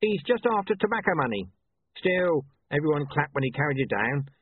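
A man's voice: a little high, sing-song, brisk, breathy edge, no echo, narrow, tinny audio, pitched above the performer's own voice. A man voicing a grubby, tactless city watchman who chats on eagerly in a storybook reading. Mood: eager, chatty. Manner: chatty, insensitive.

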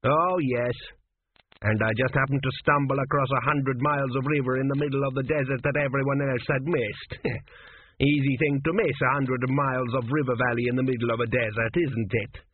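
A man's voice: medium pitch, steady tone, normal speed, slightly rough, dry recording, pitched above the performer's own voice, natural voice. A man voicing a crude, cheeky old male ancestor who was a swindler, with a blunt, sneering manner. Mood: gleeful. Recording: tinny phone-like sound, faint hiss.

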